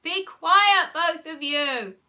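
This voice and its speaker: A woman's voice: a little high, expressive, a little fast, pushed and bright. A woman voicing an exasperated, strict father in a children's story, firm and scolding. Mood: yelling.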